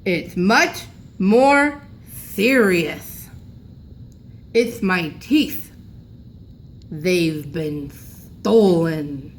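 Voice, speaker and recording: A woman voicing an aggrieved, agitated old grandfather with slow, toothless-sounding speech, reading a storybook. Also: lowish voice, expressive. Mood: indignant. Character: agitated, aggrieved old grandfather who lost his false teeth. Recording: clearly noisy room recording.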